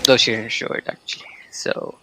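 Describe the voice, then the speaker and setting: A man giving casual gameplay commentary in a halting, conversational way as a streamer. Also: sharp tone, slight background noise, poor audio. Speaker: gaming streamer. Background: game music.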